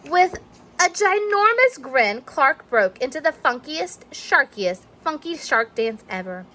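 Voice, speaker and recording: A woman reading a children's storybook aloud in an expressive narrating style. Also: high-pitched, takes it slowly, rough recording. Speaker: storybook reader.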